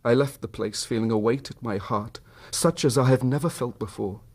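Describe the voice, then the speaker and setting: An actor playing a troubled, proud Victorian husband in a radio drama, with a British accent and an earnest, formal, wounded manner. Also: male voice, mid-range voice, moderate pace, a little mellow, slightly rough. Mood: hurt, grave. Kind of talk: radio drama acting, narrating his own story.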